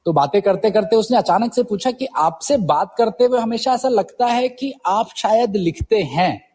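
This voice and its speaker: A man in his forties from Uttarakhand with a husky voice, telling his story conversationally as an interview guest, mixing in English words. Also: high voice, animated, normal speed, dark tone, big-room sound. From Uttarakhand, India. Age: 40s. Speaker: guest poet.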